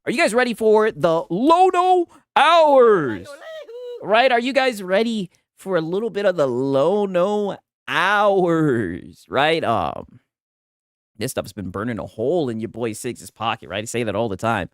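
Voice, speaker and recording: A man's voice: a little high, big pitch swings, measured pace, no echo, studio quality. Mocking streamer man saying "right?" a lot. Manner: tags sentences with "right?"; mocking, laughing often. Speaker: streamer reacting to clips. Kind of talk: casual live-stream commentary.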